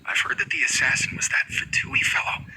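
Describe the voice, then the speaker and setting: A man's voice: fairly quick, noisy background, close to an everyday voice. A man voicing a wary townsman who gossips in a conspiratorial way. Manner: conspiratorial, hushing.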